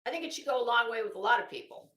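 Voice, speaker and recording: A woman's voice: a little high, animated, racing, pushed and bright, echoey room. A woman giving casual, opinionated commentary in a rambling, emphatic and blunt way, swearing freely. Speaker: online commentary host.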